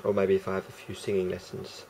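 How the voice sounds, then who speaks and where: A man with a croaky voice talking casually to camera as a vlogger, rambling and hesitant with many fillers and restarts. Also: low-pitched, monotone, fast, background noise.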